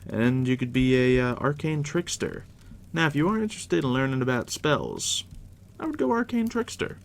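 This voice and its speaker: A man softly near-whispering in an ASMR roleplay as a game master, casual and unhurried with a dry, teasing manner. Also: creaky. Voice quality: deep, hushed near-whisper. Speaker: ASMR roleplay host, playing a dungeon master guiding a new player. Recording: steady background noise, crackling fire ambience. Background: fire crackle.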